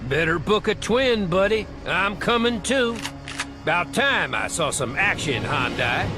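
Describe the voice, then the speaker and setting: A man playing a folksy, gung-ho American agent in a video game, with a gravelly voice and an American accent. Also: high-pitched, lively intonation, a little slow. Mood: wry, easygoing. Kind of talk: video game cutscene acting. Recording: music behind the voice.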